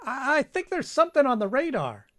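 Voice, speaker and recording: A woman's voice: sits high, very animated, fairly quick, fairly bright tone, no echo, studio quality. A woman playing a loyal, hesitant military radar sergeant in a radio drama, deferential, tentative and stammering. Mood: nervous, amazed. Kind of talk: live radio drama acting.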